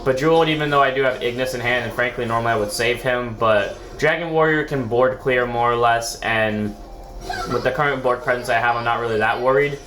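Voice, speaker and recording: A man's voice: mid-range voice, a little fast, a bit bright. Sick-sounding man rambling over gameplay. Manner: rambling, self-correcting, mild swearing. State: sore throat, sounds sick. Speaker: gaming video host. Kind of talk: casual gameplay commentary. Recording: echoey room, faint background music.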